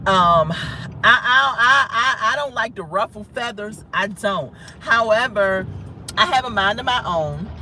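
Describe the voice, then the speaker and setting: A woman's voice: mid-range voice, animated, measured pace, sharp tone. An American woman talking casually and frankly as a livestream host, in an informal style that often repeats itself. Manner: informal, outspoken, repeats herself. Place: inside a moving car. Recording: noisy car ride, distant mic.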